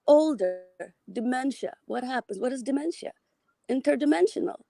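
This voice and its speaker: Gravelly Ethiopian woman caller. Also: high-pitched, animated, normal speed, warm tone. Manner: rambling, philosophical, repeats words. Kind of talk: casual call-in chat.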